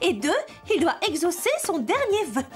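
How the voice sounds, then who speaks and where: A woman's voice: extremely high pitch, very animated, moderate pace. A woman playing a brave, tender queen in a French children's cartoon, affectionate and earnest. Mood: composed. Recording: children's music behind the voice.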